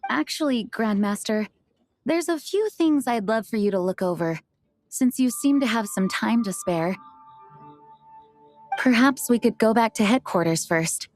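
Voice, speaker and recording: A woman's voice: a little high, expressive, a little slow, faint music behind. A woman playing a dutiful, modest young knight officer in an anime game, speaking politely and formally. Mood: politely insistent.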